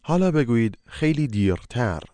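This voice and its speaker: Brisk, rapid male course narrator. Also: medium pitch, sing-song, warm tone, dry recording. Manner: brisk, directive prompting. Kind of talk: scripted instruction.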